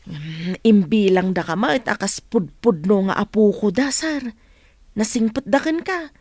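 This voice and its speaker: A woman's voice: mid-range voice, expressive, a little slow, a little mellow, no room sound. A woman playing a respectful, soothing household helper in a radio drama. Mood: consoling. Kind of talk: Ilocano radio drama acting.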